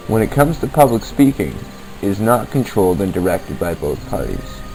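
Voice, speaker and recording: A man's voice: fairly low pitch, mellow, poor audio. A man hosting a podcast, reading scripted informational narration on a basic amateur setup. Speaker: podcast host. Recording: amateur podcast, basic equipment, uneven levels.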